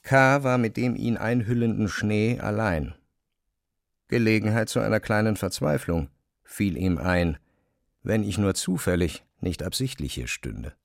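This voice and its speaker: Low-pitched male narrator, dry sound. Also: animated, a little slow, vocal fry, no room sound. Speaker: narrator of a literary audio drama. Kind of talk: scripted literary narration.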